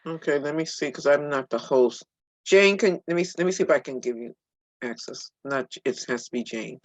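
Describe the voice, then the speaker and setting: A woman's voice: very deep voice, normal speed, no room sound, lo-fi sound. A woman hosting an online meeting, making brief, practical remarks over a computer mic on a video call. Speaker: meeting host.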